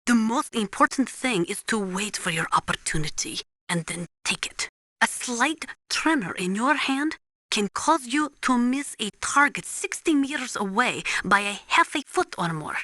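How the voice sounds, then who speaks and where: A woman's voice: on the high side, animated, unhurried, bright, forceful tone, no room sound, clean studio sound, exaggerated voice. A woman voicing a serious, knowledgeable military analyst in a video game, briefing in a precise, formal, instructive way.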